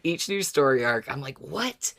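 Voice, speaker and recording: A podcast co-host with a medium-pitched voice talks in a loose, casual way. The delivery is musing, as if working thoughts out aloud while chatting. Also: very animated, normal speed, gravelly, a bit bright. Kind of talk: casual chat about a story.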